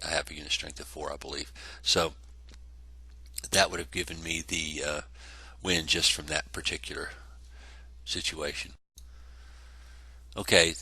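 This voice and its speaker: A man's voice: rumbling low, gravelly, pushed and bright, breathy edge, no room sound. A congested man casually narrating a tabletop wargame battle report, rambling with many fillers. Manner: rambling, self-correcting, lots of fillers.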